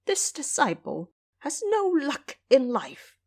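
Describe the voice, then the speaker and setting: A woman's voice: high-pitched, wildly swooping pitch, slow, voice pushed up, full character voice. An elderly, covetous abbot from a fantasy audio drama, voiced by a woman. He pleads in a slightly raised, plaintive voice, sly and full of fawning politeness. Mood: tearful pleading. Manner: obsequious, plaintive.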